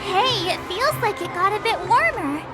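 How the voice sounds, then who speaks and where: Perky teen girl game hero, super high n bouncy, chatty, violin music behind. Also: animated, normal speed. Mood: curious. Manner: chatty, exclamatory. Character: perky, indignant teenage girl adventurer. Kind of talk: video game voice acting. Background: orchestral violin music.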